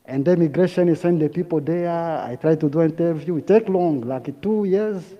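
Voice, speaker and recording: High voiced guy from congo, broken english, slow and repetitive, muffled lo-fi sound. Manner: broken, repetitive phrasing, unhurried with long pauses. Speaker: guest speaker. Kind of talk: halting personal testimony. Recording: dull lo-fi sound.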